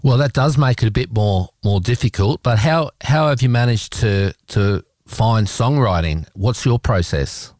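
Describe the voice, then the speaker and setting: A man's voice: medium pitch, unhurried, few pauses, a little mellow, dry recording, clean studio sound. Australian male radio host, rambling and informal. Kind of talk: casual radio presenting and interview chat.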